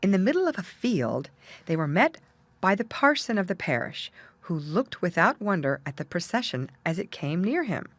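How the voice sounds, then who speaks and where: A woman's voice: lowish voice, very animated, normal speed, no room sound. A woman reading a fairy tale as a storyteller, in scripted narration.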